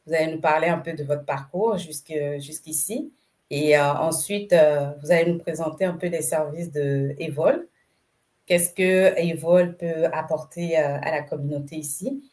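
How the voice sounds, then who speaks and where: A woman's voice: low voice, fairly even intonation, normal speed, darkish tone. A woman hosting a podcast interview who speaks hesitantly, with many fillers and repeated words. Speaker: podcast host.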